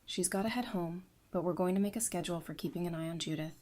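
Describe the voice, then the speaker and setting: An actress playing a supportive, caring, plain-spoken friend in an audio drama. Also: female voice, fairly low pitch, fast talker, a little mellow, natural voice. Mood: reassuring.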